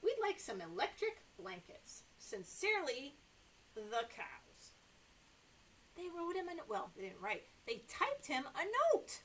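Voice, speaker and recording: A woman's voice: sits high, wildly swooping pitch, unhurried, fairly bright tone, slight background noise, low-quality recording. A middle-aged woman hosting story time for small children, reading aloud and chatting in an exaggerated sing-song way. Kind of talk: read-aloud story time for toddlers, talking to young children. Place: small indoor room with sofa and toys.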